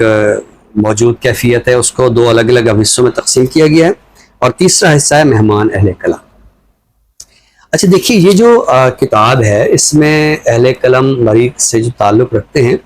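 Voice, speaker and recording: Male, Pakistani accent, mid-pitched voice, literary presenter with measured phrasing. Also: darkish tone, rough recording. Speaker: literary show presenter reciting a poem and introducing a book. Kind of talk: poetry recital and literary talk.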